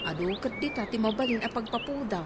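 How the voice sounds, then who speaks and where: An excitable middle-aged Ilocano aunt played by a woman exclaims and frets through a radio comedy drama. Her voice is high and very animated. The audio is heavily noisy, with birds and outdoor sounds. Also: quick pace, natural voice. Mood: chatty, doubtful. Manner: exclamatory, expressive. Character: well-off unmarried aunt, excitable and exasperated.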